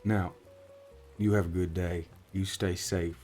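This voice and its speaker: Man playing a deep, leathery country-accented orc detective. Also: level tone, slow pace, darkish tone, soft background music. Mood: gruff, reassuring. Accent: American country. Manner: folksy, matter-of-fact, reassuring. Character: gruff, streetwise orc warlock private investigator. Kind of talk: soft-spoken ASMR roleplay.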